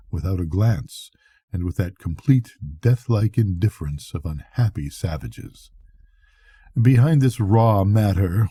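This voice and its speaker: Male narrator, grandfather age, lowish husky voice, measured literary bedtime reading. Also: dark tone, dry recording. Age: older, a grandfather. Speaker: audiobook reader.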